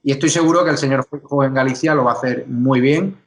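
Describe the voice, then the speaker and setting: A man's voice: medium pitch, fairly bright tone. Emphatic Spanish male talk-show host. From Spain. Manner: emphatic, speaks in rapid run-on lists. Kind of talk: political talk show interview.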